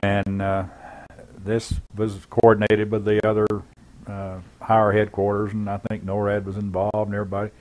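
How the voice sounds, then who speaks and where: A man with a low-pitched voice gives a first-person account from memory. He speaks in a plain, matter-of-fact manner, feeling his way through the details haltingly. It sounds like an unscripted witness interview. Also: completely flat delivery, darkish tone, low-quality recording. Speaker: former air traffic controller giving an eyewitness account.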